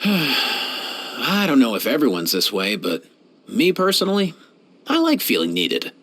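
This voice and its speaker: A man's voice: mid-range voice, huge pitch swings, measured pace, fairly bright tone, exaggerated voice. A man voicing a young, laid-back and caring animal doctor for a fantasy video game, speaking in a friendly, casual way. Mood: easygoing, caring. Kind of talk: scripted video game character voice lines.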